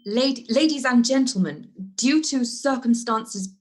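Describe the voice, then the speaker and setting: Woman playing a high-pitched formal radio announcer. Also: unhurried, natural voice. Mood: formal, urgent.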